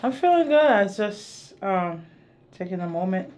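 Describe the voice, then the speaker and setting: A woman's voice: mid-range voice, very animated, moderate pace, a little mellow, slight background noise. A woman in her thirties chatting casually as a patient during a chiropractic session. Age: 30s. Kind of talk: casual chat. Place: small indoor treatment room.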